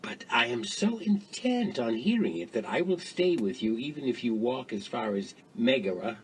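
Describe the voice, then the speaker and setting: A man playing a wry, teasing ancient Greek philosopher in a measured dramatised dialogue reading. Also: mid-range voice, very animated, slight background noise, close to an everyday voice. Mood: wry, eager.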